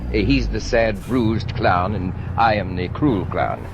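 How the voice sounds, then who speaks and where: An actor playing an amused, coolly sinister circus clown in 1940s radio drama, on a muffled old recording. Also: male voice, lowish voice, measured pace. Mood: gloating. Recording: muffled old radio, music and road noise.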